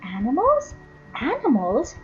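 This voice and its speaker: A woman's voice: sits high, wildly swooping pitch, unhurried, dull audio, soft background music, heavily acted. A woman voicing a small, homesick orphan boy, hesitant and plaintive, for a storybook. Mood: shocked.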